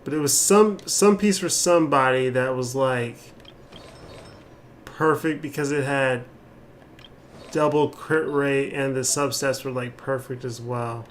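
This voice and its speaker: A man's voice: mid-range voice, fairly even intonation, measured pace. A man doing casual gameplay commentary as a streamer, rambling and thinking aloud. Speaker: game streamer. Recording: clear background noise.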